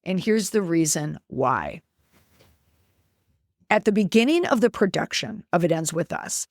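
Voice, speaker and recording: A woman's voice: on the low side, no room sound, clean studio sound. A middle-aged woman giving opinionated podcast commentary in an emphatic way, repeating words for stress.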